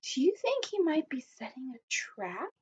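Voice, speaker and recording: A woman teacher reading a children's story aloud expressively and pausing to put questions to her listeners. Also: sits high, sing-song. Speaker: primary school teacher. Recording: dull lo-fi recording.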